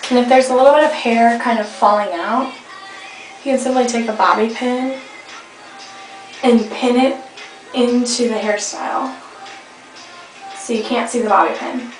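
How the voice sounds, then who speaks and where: A young woman with a slightly hoarse voice, chatting casually through a hair tutorial with rambling fillers, recorded on a webcam at home. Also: a little high, steady tone, normal speed, pauses often. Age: young adult. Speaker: beauty vlogger. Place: indoor room at home. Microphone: webcam at arm's length. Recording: echoey room, faint music behind.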